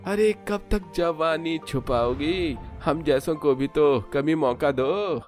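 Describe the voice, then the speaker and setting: A man acts out a leering young lout for a cartoon, his voice pushed higher. He taunts in a smug drawl over background music. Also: slow pace, a little mellow. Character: leering young male street harasser.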